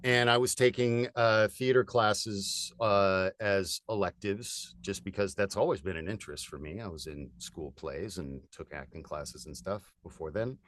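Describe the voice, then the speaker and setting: A man in his forties from California, a voiceover artist chatting as a guest in an upbeat, energetic way with lots of fillers. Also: low voice, a little slow, few pauses. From United States (California). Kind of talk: casual interview chat.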